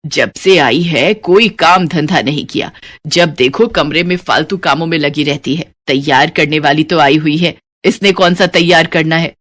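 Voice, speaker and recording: A woman plays an older mother-in-law in a village moral story cartoon. She is stern and commanding, quick to find fault with her daughters-in-law. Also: low-pitched, fairly bright tone. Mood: disapproving. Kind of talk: animated moral story character dialogue.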